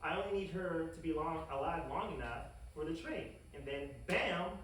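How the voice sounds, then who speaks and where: A man playing a smug, greedy, wicked scientist in an animated comedy table read, stammering and brushing others off. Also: a little high, moderate pace, natural voice. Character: smug wicked male mad scientist, greedy, childishly defensive, scheming. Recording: roomy echo, distant mic, faint hiss.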